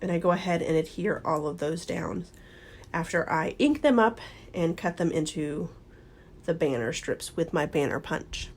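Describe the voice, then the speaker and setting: A woman narrating her scrapbook layout step by step in a casual voice-over, her voice hoarse and crackly from being sick. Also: low voice, normal speed. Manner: relaxed, step-by-step explaining. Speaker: scrapbooking channel host.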